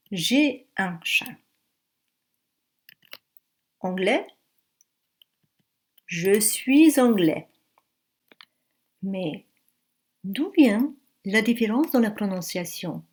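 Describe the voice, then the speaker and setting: A woman in her forties teaching a beginner language lesson in a slow, careful classroom manner. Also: medium pitch. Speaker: French teacher.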